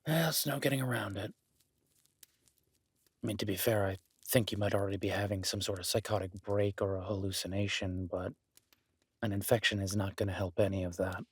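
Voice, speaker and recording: A man acts out a gruff, skeptical loner in a remote cabin for an intimate audio drama. His voice is low and rough-edged, recorded close and very dry. He is blunt and sweary, yet grudgingly caring and reassuring. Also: fairly even intonation, a little fast, no echo. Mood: matter-of-fact caring. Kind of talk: immersive audio roleplay, intimate naturalistic acting.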